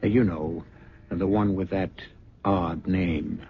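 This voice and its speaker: A man's voice: low-pitched, fairly even intonation, slow. A man hosting a suspense radio drama, narrating as a wry, conversational storyteller. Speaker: host and narrator. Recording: muffled old radio recording with music.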